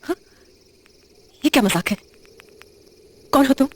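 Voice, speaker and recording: Woman acting a high-pitched, uneasy young widow. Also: animated, a little mellow. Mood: startled. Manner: hesitant, halting, muttering questions to herself. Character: uneasy, confused young widow in a horror story. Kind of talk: audio horror drama acting.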